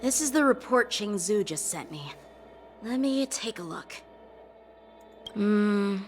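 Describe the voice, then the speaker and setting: A woman's voice: mid-range voice, expressive, takes it slowly. An actress voicing a dutiful young female officer who speaks earnestly, a little weary. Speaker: game character. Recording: game audio with faint music.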